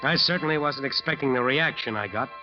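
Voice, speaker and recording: An actor playing a despairing American bandleader in a 1940s radio drama, with weary, slangy talk. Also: male voice, mid-range voice, brisk, lightly acted. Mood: brooding narration. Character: haunted, despairing jazz bandleader confessing his crime. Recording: dull old radio recording with music.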